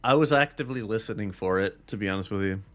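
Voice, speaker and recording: A man's voice: lowish voice, fast talker, fairly bright tone, soft background music. A man co-hosting a podcast in casual chat, wry and sarcastic. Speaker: podcast co-host.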